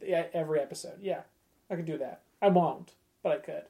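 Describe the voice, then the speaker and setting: A man's voice: on the high side, a little fast, dark tone. A man chatting casually as a podcast guest, explaining things with enthusiasm.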